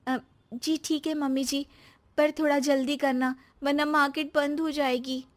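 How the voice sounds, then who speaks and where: Woman playing a very high-voiced polite daughter-in-law. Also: flat delivery, measured pace. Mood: pleading. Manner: pleading, respectful.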